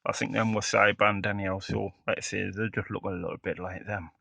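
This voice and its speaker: A man's voice: lowish voice, level tone, fast talker, vocal fry, bright, forceful tone, no room sound. A man giving a casual, hesitant, matter-of-fact demonstration of a piece of equipment as a hobbyist presenter.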